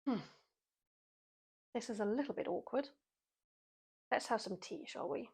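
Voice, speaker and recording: A woman's voice: fairly high pitch, a little slow, gravelly, a little mellow, soft background music. A British woman in her forties, chatting casually to camera with wry, self-mocking asides. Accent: British English. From Britain. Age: 40s. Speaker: vlogger.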